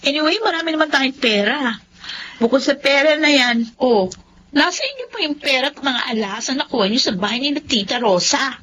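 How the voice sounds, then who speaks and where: A woman's voice: high-pitched, expressive, fairly quick, bright, forceful tone. An actress with a Filipino accent playing a spoiled, bossy, vain young woman in a radio drama, snappy and commanding. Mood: haughty. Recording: dull lo-fi audio with background noise.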